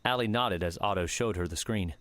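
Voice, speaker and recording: A man narrating an audiobook in a measured, steady reading manner. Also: low-pitched, no echo. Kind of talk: scripted narration.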